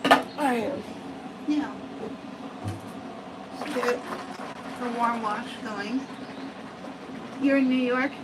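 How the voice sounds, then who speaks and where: Slow-talking American woman grooming a cat. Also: on the high side, recorded from a distance, very noisy. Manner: chatty, slow, often adds "right?". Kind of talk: casual livestream grooming demo. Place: grooming salon, at the bath.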